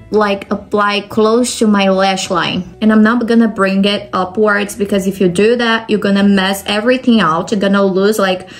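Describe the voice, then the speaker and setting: A young woman from Brazil with a Brazilian Portuguese accent, talking through a makeup tutorial step by step and often saying "like". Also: mid-range voice. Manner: step-by-step explaining, frequent "like" fillers. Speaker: makeup vlogger.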